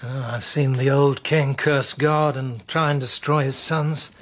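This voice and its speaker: An actor playing a loyal medieval knight and adviser in a historical audio drama, speaking with respectful, earnest candour. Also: male voice, medium pitch, fairly even intonation, unhurried, natural voice. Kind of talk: historical radio drama acting.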